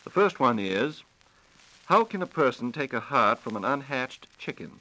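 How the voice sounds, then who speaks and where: A male host from 1940s radio, heard on a vintage broadcast recording. His mid-pitched voice is bright and crisp-edged. He addresses his listeners and co-presenter with polished, courteous formality. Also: very animated, normal speed, rough recording. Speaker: radio program host and announcer. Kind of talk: 1940s radio announcing and interviewing.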